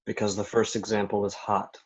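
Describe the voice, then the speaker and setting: A man's voice: fairly low pitch, completely flat delivery, fairly quick, lightly acted. An actor playing a sarcastic, bitter young man who needles his friends with snide mockery in a play reading. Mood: mocking. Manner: snide, mocking. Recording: dull lo-fi recording.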